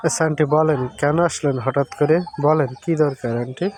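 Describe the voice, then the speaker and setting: A man's voice: fairly high pitch, flat delivery, fairly quick, natural voice. A man acting the part of a polite, nervous young boyfriend in an amateur skit, speaking deferentially. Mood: polite, curious. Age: young adult. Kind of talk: amateur staged phone-call skit. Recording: very noisy audio, clicks, insect chirping.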